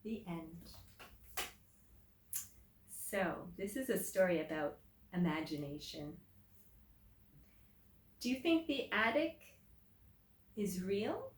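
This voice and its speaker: A woman teacher reading a picture book aloud to children, explaining simply and deliberately and putting questions to her listeners. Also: fairly low pitch. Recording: roomy echo, distant microphone.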